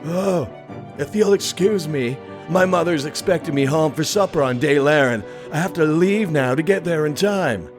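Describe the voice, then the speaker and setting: A man's voice: on the high side, normal speed, over music, lightly acted. A man acting a laid-back, easily led writer in an audio drama, casual and nonchalant. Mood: nonchalant, compliant. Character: laid-back, idle male writer, easily pushed around.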